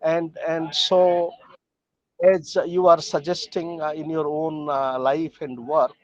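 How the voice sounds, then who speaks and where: Male scholar, notably high voice, deliberate and halting, dull lo-fi call audio. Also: level tone, no room sound. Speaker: academic discussant. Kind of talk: formal remarks in an online discussion. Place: joining a video call from a train.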